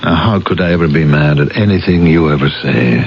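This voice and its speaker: Deep-voiced, guilt-ridden police captain. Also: male voice, a little fast, slight background noise. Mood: pained, tender. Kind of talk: 1970s radio drama acting, narration and dialogue. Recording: muffled old radio broadcast, loud music behind.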